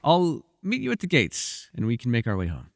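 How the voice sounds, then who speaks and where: A man's voice: medium pitch, wildly swooping pitch, a little fast, creaky, big-room sound. A man playing a bookish, witty but guarded elf in a fantasy ASMR roleplay, speaking in a wry, wordy, formal way. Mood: brisk. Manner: wry, wordy and formal, flustered when pressed.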